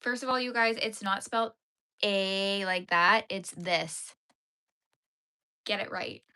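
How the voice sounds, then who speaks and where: A woman's voice: medium pitch, slow pace, sharp tone, smooth. A young woman, chatting playfully with her livestream viewers while she crochets. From Canada. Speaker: livestream host.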